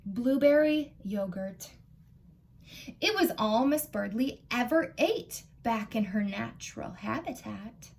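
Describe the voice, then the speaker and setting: Children's librarian, female, American. High-pitched, expressive storytime read-aloud, engaging young listeners directly. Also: slow, vocal fry. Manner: animated, asks listeners questions.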